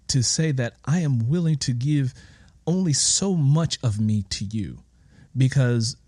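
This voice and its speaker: A man hosting an interview in a reflective, conversational way, deliberately building and restating his questions. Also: mid-range voice, mellow, dry recording, studio quality. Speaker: interview host.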